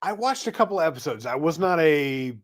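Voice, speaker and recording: A middle-aged man co-hosts a podcast in a somewhat high voice. His talk is casual and conversational, offering opinions in an everyday way. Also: big pitch swings, brisk. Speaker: podcast co-host. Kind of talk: casual chat.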